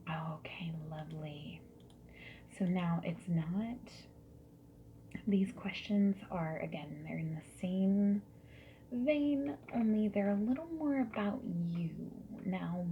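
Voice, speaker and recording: A woman doing a soft-spoken ASMR roleplay as a survey interviewer, gently and politely asking questions in a breathy voice that often drops into whispers. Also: fairly low pitch, slow pace. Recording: lo-fi muffled audio with noise. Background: mechanical keyboard typing.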